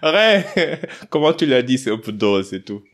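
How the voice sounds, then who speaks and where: A man hosting a podcast, chatting in a relaxed way with frequent fillers and laughs. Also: mid-range voice, moderate pace. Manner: relaxed, laughs often, many fillers and restarts. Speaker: podcast host. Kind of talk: casual interview.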